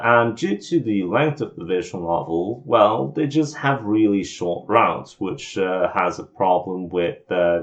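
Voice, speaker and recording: A man giving an informal, scripted game review voice-over in an opinionated, grumbling, self-deprecating way. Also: fairly low pitch. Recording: muffled lo-fi home voice recording.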